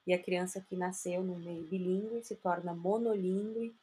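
A woman's voice: lowish voice, flat delivery, moderate pace, mellow, slightly rough. Woman explaining earnestly. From southern Brazil. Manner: earnest, explanatory, many "né?" tags. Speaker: guest, linguist and bilingual education specialist. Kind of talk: casual interview chat.

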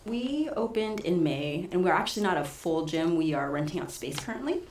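Soft-spoken low-voiced woman in an echoey room. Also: animated, fairly quick, slight background noise. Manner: soft-spoken, storytelling with light laughs. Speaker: fighter, promoter and boxing coach. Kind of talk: casual interview answers.

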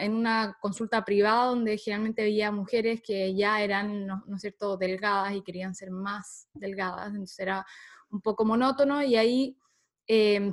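Chilean woman in her 30s, chatty, laughs a lot, lots of ums, easygoing interview guest. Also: a little high. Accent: Chilean Spanish. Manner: chatty, laughs often, many fillers. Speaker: guest researcher. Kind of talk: casual interview talk.

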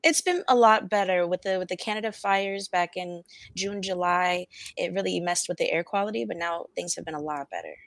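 A woman's voice: lowish voice, fairly quick, vocal fry, hardly any pauses, no room sound. A young woman, an actress, answering interview questions in an upbeat way and laughing easily. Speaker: actress, guest.

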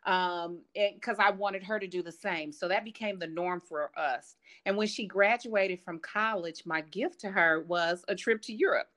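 A woman from Kansas City, a grandmother, chatting as a guest about her family travels in a relaxed storytelling way. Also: on the low side, moderate pace, few pauses. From Kansas City, Missouri. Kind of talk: casual interview chat.

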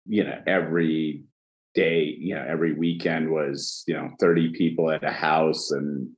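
A man from Egypt, a chef, telling his backstory in a casual interview, halting with many fillers. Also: a bass voice, steady tone, muffled recording. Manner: halting, many fillers like "you know", laughs often. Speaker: chef, guest.

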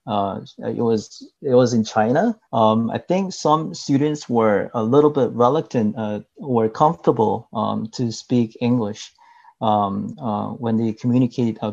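A man from Korea with a Korean accent, answering interview questions in careful English with many filler pauses. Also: mid-range voice, vocal fry, warm tone. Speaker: interview guest. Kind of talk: recorded video call interview. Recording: dull muffled audio.

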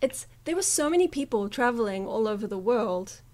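Woman, slight New Zealand accent, chatty, laughs a lot, lively storytelling, interview guest. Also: a little high, fairly quick. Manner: chatty, laughs often, animated retelling. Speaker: guest musician. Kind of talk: casual interview chat.

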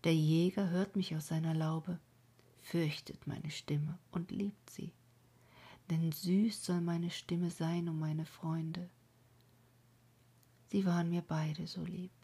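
A woman voicing a grieving young woman who laments her dead lover in a dramatic poetry reading, with swelling calls and breathy whispers. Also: lowish voice, steady tone, takes it slowly, pauses often, mellow, exaggerated voice. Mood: grief-stricken.

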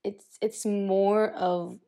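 Young woman, solo podcast host; hoarse, gravelly, husky after illness; chatty, restarts often. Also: mid-range voice, flat delivery, drawn-out words, mellow. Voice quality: husky, raspy. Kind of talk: casual solo podcast, reading posts aloud.

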